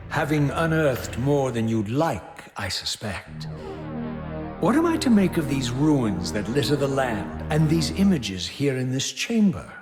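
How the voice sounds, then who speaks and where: Defiant wraith antihero in a game, theatrical and sardonic, very animated pitch, music under it. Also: male voice, measured pace. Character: brooding, defiant undead male wraith antihero. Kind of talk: dramatic video game narration and dialogue.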